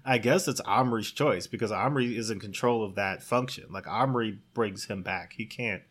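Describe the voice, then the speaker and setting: A man in casual conversation, recounting a story in a halting, rambling way with lots of "like". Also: lowish voice, fairly bright tone, husky. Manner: rambling, halting, heavy use of "like". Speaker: podcast co-host. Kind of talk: casual chat, recounting a plot.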